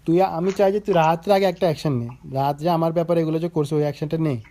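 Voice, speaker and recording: A man's voice: on the high side, steady tone, fast, warm tone. A young man on a heated phone call, accusing and lecturing the other side. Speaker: caller.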